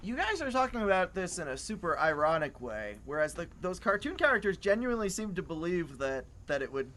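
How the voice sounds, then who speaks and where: A person doing improvised comedy banter in an exasperated, excitable way. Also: mid-range voice, brisk, slight background noise.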